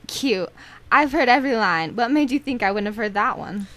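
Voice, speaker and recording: A woman's voice: a little high, lively intonation, moderate pace, fairly bright tone, dry recording. A woman playing a sassy, street-smart femme fatale in a radio detective drama, teasing and bold. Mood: teasing, flirty. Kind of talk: old-time radio detective drama acting.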